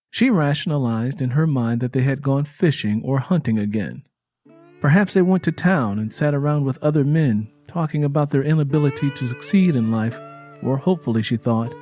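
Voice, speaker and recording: Guy narrating an audio drama, medium pitch voice, scripted and dramatic, guitar music behind. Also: mellow, no echo, studio quality. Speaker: writer and narrator.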